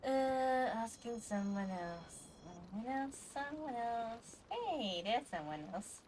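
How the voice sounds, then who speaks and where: A woman's voice: on the high side, sing-song, slow, darkish tone, slight background noise, low-quality recording. A woman chatting casually as she live-streams a game.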